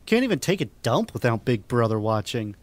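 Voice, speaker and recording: A man playing a sarcastic, excitable young nerd, a rich-boy sidekick who banters in a comic radio drama. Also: medium pitch, brisk, no room sound, natural voice. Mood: defiant, excitable.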